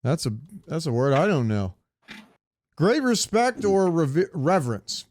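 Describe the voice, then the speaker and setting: A man's voice: on the high side, sing-song, unhurried, darkish tone, no room sound. A man co-hosting a comedy podcast, chatting casually with some swearing and reading bits aloud in a joking way. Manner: profane, joking. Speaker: podcast co-host.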